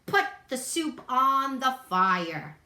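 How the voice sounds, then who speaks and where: A grumpy, scruffy varmint creature, as a woman puts him on for a read-aloud, barking orders in a loud snarl. His voice has a bright, hard edge and sits in a roomy space. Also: high voice, very animated, takes it slowly, voice pushed up, heavily acted. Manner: snarling, bossy.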